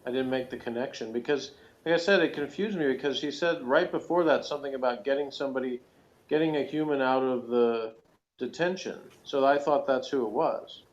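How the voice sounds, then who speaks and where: A man's voice: mid-range voice, fairly quick. A man chatting casually as a co-reviewer, with a self-deprecating way of talking. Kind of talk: casual chat. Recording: dull lo-fi audio, faint noise.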